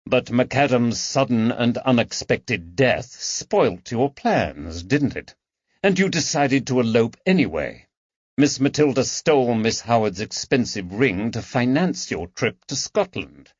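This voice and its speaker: A man's voice: mid-range voice, big pitch swings, measured pace, breathy edge, dry recording, muffled recording, pitched above the performer's own voice. A man voicing a shrewd, coldly mocking detective in an audiobook, with a dry, taunting manner. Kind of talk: audiobook character dialogue.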